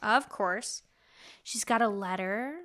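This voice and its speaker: A woman co-hosting a podcast, recapping a story in a casual, animated, slangy and jokey way. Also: medium pitch, takes it slowly. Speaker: podcast co-host recapping a novel. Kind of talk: casual chatty story recap with acted-out quotes.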